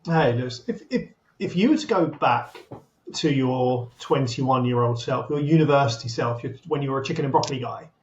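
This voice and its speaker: A man's voice: medium pitch, darkish tone. Inquisitive man in an echoey room. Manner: inquisitive, halting questions. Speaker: podcast co-host. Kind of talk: casual chat. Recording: roomy, dull audio with faint noise.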